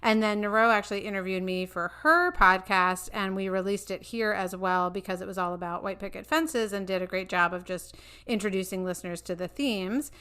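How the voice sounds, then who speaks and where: A woman's voice: mid-range voice, a little fast, bright, forceful tone, smooth. A middle-aged woman hosting a podcast, asking earnest, thoughtful questions in a conversational interview. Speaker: podcast host.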